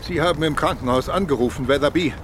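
An actor playing a calm, reassuring gentleman of authority in a German radio crime drama, matter-of-fact in manner. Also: fairly high pitch, fast, background noise, natural voice. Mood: authoritative.

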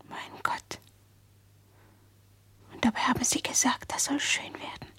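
A woman's voice: a little slow, bright, forceful tone. An actress playing a dreamy, restless young girl in a radio play, wistful and pleading, often slipping into whispers. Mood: hushed, uneasy. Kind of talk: 1970s German radio play acting.

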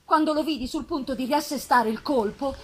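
A woman's voice: high-pitched, fairly bright tone. A woman voicing an anguished, vengeful outcast male monster in an impassioned, theatrical gothic reading. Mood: horrified, pained. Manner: impassioned, theatrical, drawn-out emphasis. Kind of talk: dramatic gothic novel reading. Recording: live festival recording with background noise.

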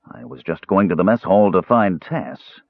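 A man's voice: low-pitched, expressive, normal speed, dry recording. A worn-down warrior man, as a male narrator voices him, bleak and hollow, stumbling over words when cornered. The audio is dry and thin, pinched into a narrow phone-like band. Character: weary, trapped burly male warrior.

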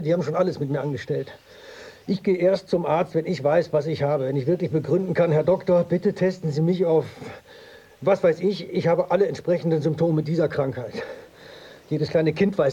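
An actor in a German radio drama playing an anxious, stubborn hypochondriac who broods and justifies himself in a naturalistic way. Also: male voice, fairly high pitch, mellow, breathy edge, lo-fi sound. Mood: defensive. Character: anxious, stubborn male hypochondriac, technical editor.

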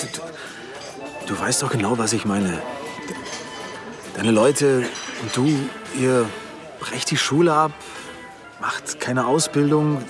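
An actor playing a blunt, defensive middle-aged police detective in a radio drama. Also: male voice, a little high. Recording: music clearly behind the dialogue.

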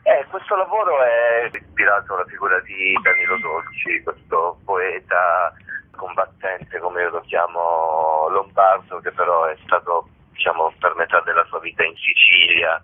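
Deep-voiced man on a muffled phone line. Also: a little slow, a little hiss or hum. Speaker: theatre actor and writer, interview guest. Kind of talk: reflective spoken interview.

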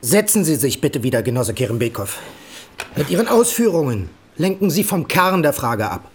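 An actor playing a stern, authoritarian party secretary who chairs a tribunal in a curt, formal way, in a radio drama. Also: male voice, a little high, very animated, moderate pace, breathy edge. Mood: curt, reproving. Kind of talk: German radio drama acting.

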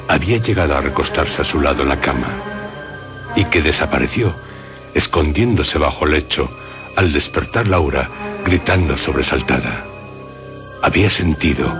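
A man playing a grave storyteller, narrating a gothic radio drama in a measured, suspenseful manner. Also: extremely low pitch. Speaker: narrator and listener. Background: prominent music.

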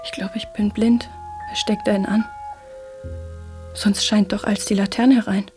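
A woman's voice: medium pitch, level tone, unhurried, darkish tone, faint music behind, close to an everyday voice. An actress in a radio drama playing an anxious, poor young mother with plain folksy speech that often drops to a whisper. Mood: uneasy, sorrowful. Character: anxious poor young mother tending her little boy.

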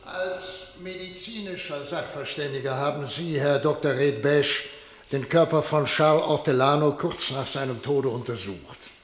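A man's voice: a little high, normal speed, a little hiss or hum, lightly acted. A man acting a formal court official in a German radio play, questioning in a courteous, precise way. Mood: formal, probing. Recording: roomy, reverberant courtroom sound.

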